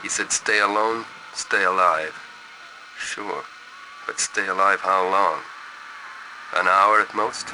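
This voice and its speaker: A man's voice: low-pitched, steady tone, slow pace, pauses often. A man playing a doomed, fatalistic crewman, grim and terse, leaving last words on a noisy recorder in a video game. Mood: fatalistic, resigned. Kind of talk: video game audio log acting.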